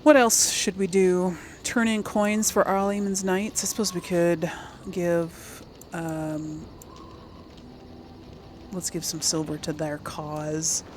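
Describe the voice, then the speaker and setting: Woman chatting thru a video game playthrough, pretty slow and casual, slight background noise. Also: on the low side, little pitch movement, darkish tone. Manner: chatty, addresses viewers, giggly asides. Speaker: gaming video host. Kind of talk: casual let's play commentary.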